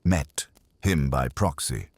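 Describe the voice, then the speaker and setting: Male reader as wisecracking detective's legman: very low, husky, glib, audiobook mystery. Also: animated, takes it slowly, no room sound, full character voice. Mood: glib, probing. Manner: glib, smooth-talking, wry. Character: brash, wisecracking male private investigator.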